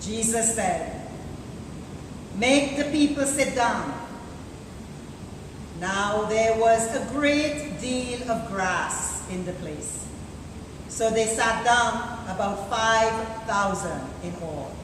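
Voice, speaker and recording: A woman reads a liturgical scripture passage at a very slow, solemn pace. Her voice rings through a church with a long reverb. The live recording is distant, with clear background noise. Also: on the high side, expressive. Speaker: deacon reading scripture in church. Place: large church interior, live service. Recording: echoey church from afar, noisy live recording.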